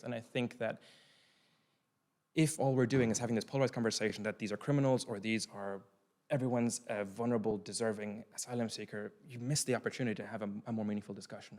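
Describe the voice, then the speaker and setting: Young man from Ireland giving a keynote. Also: lowish voice. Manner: thoughtful, restarts often, talks with his hands. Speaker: keynote speaker. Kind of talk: conference talk answering a question. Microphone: seated at a desk mic on a stand.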